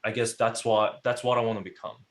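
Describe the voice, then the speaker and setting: Low-voiced young man, laughing guest. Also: little pitch movement. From Korea. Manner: laughs often, halting restarts. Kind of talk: casual podcast interview.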